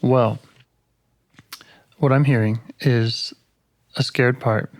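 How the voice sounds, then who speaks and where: A man leading a live therapy session over a call, gentle and calm, pausing to reflect as he speaks. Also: medium pitch, dry recording. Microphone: call audio.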